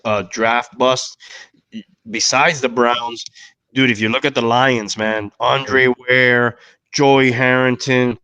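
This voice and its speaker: A man's voice: medium pitch, fairly even intonation, slow pace, sharp tone, clear, resonant tone, no room sound. A man co-hosting a sports podcast, chatting casually in a blunt, slangy way. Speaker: podcast co-host.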